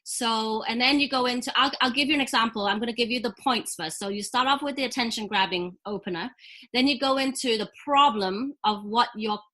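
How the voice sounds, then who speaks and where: A woman's voice: fairly high pitch, fairly quick, pushed and bright. A middle-aged woman from Hong Kong, raised in England, with a slight British accent, explaining things conversationally as an interview guest. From born in Hong Kong, raised in England. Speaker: guest entrepreneur and business coach.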